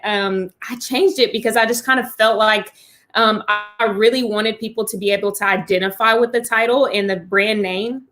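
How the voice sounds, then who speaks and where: Bright-voiced Texas woman, upbeat. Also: mid-range voice. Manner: upbeat, laughs easily. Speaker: podcast guest. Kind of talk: casual interview chat.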